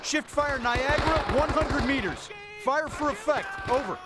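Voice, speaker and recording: An actor playing a tough, gruff Marine squad leader in a war video game, barking profane orders in military slang. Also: male voice, extremely high pitch, normal speed, loud music behind the voice. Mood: firm, commanding.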